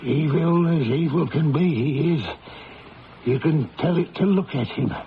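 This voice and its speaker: An actor playing a superstitious village tavern keeper with a rustic, foreboding manner in a radio drama. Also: male voice, fairly high pitch, measured pace. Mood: ominous. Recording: dull lo-fi old radio, faint noise.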